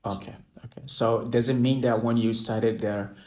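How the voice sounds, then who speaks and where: A man's voice: mid-range voice, steady tone, moderate pace, darkish tone. A man hosting an online language chat, encouraging the speakers and recapping what they say, often with "right?" tags. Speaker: host. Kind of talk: online conversation party chat.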